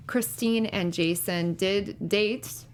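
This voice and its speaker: A woman's voice: mid-range voice, slow pace. A woman speaking casually as a recap show panelist, tentative and hedging as she offers ideas.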